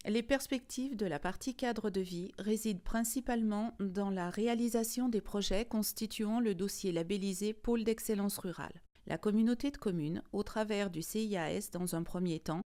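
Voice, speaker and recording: Female narrator for institutional documentary. Mellow timbre, mid-range pitch, formal read-aloud style.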